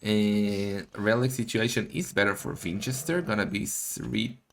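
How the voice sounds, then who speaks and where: A man giving excitable, casual live esports commentary, full of exclamations and questions. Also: fairly low pitch, a little slow, slight background noise.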